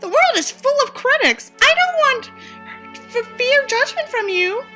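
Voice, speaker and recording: Woman putting on a squeaky, exaggerated teenage girl. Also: very animated, unhurried, music in the background, heavily acted. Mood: anxious. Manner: exaggerated girlish delivery. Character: teenage girl Abby, breathy squeaky, sweetly dramatic girlfriend. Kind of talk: mocking audiobook character voice.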